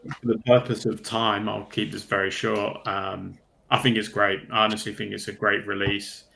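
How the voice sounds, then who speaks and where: A man's voice: lowish voice, level tone, moderate pace, vocal fry, sharp tone, poor audio. A man talking casually as a panel guest. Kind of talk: casual hobby chat.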